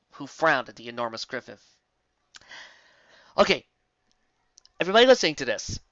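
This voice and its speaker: A woman's voice: low-pitched, fairly bright tone, no room sound. A woman narrating a scripted story expressively as a storyteller. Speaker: storyteller, reader. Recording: slightly dull, dry home recording.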